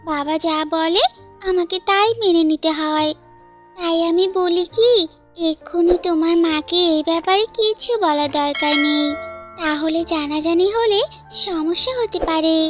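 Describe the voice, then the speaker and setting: A woman's voice: extremely high pitch, unhurried, faint music behind. A woman voicing an anxious, lovestruck young female bird student in a cartoon, pleading and earnest. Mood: worried. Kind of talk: cartoon fairytale dialogue.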